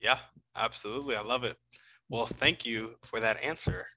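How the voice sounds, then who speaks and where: A man hosting a podcast, asking questions in a measured, encouraging way. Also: medium pitch, sharp tone, a little hiss or hum, poor audio. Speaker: podcast host. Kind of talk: interview questions.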